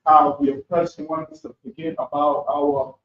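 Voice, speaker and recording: High-pitched, gravelly man in an echoey room. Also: big pitch swings, normal speed, fairly bright tone. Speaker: class participant and scripture reader. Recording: echoey, dull, noisy room recording.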